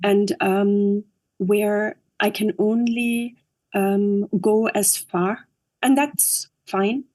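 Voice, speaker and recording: Austrian woman actress talking in english, accent, lots of ums, thoughtful, mid pitch. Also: steady tone, darkish tone. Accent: Austrian German. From Upper Austria. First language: German. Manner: thoughtful, halting with frequent fillers. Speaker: guest, actress and director. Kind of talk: interview conversation.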